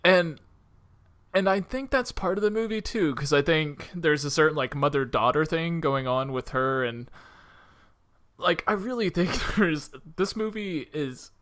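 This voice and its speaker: Male podcast co-host, slightly high voice, animated and giggly, casual movie chat. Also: a little slow. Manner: animated, giggly, breaks into laughter often. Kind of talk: casual film discussion.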